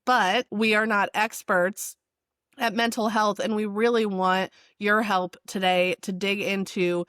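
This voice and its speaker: Upbeat female co-host, mid-pitched, dry close mic. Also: fairly even intonation, a little slow, fairly bright tone, smooth, dry recording. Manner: enthusiastic, upbeat. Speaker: podcast co-host. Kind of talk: podcast chat and guest introduction.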